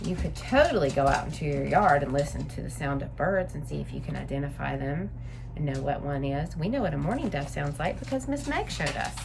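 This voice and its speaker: A woman's voice: sits low, expressive, fairly quick, vocal fry, noisy background. A woman reading a picture book aloud to young children, adding simple explanatory asides as a story-time teacher.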